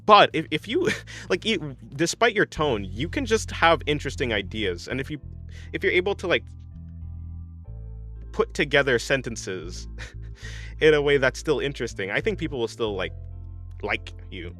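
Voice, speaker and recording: A man's voice: medium pitch, big pitch swings, moderate pace, pauses often, a bit bright, faint music behind. A young man streaming while he draws, reading chat aloud and answering in a rambling, casual way full of fillers and restarts. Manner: rambling, many restarts and fillers, laughs often.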